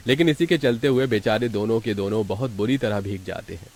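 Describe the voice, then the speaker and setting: A man's voice: lowish voice, fairly quick, darkish tone. A man reading scripted narration as the narrator of a story.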